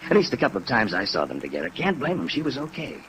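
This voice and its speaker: An actor playing a gossipy, folksy American apartment manager in a 1950s radio drama, chatty and slangy. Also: male voice, mid-range voice, wildly swooping pitch, rapid-fire, a bit bright, rough recording. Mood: gossipy, offhand. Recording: old radio recording with rain noise.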